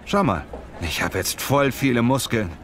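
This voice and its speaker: A man's voice: medium pitch, lively intonation, normal speed, a little hiss or hum, lightly acted. A man playing a lazy, muscle-proud Italian language learner in a scripted lesson dialogue, speaking in simple, complaining phrases. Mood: casual, self-satisfied.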